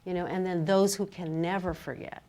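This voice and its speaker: A woman moderating a literary discussion as a fellow writer, musing in a halting, conversational way with frequent asides. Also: on the low side, fairly even intonation, warm tone.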